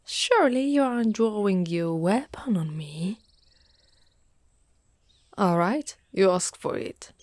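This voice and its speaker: A woman's voice: medium pitch, animated, slow, long pauses, a little mellow, no echo. A woman playing a devoted, fierce dragon knight in a dramatic ASMR roleplay, speaking in formal, archaic phrases with theatrical weight. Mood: menacing, commanding.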